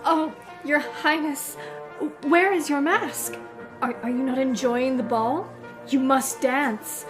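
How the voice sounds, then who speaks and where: An actress playing an eager, fawning, flirtatious courtier with a gushing manner in an audio drama. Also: female voice, high voice, slow pace, over music. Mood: excited, flirtatious. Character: eager, fawning, flirtatious woman at royal masquerade. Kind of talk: full-cast audio drama acting.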